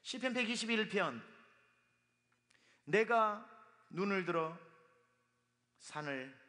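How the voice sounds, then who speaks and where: A man preaching a sermon as pastor, his voice hoarse from dust, speaking emphatically. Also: high voice, animated, slow, pushed and bright. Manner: emphatic, with sung and quoted lines. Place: church sanctuary. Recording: long reverb of a hall.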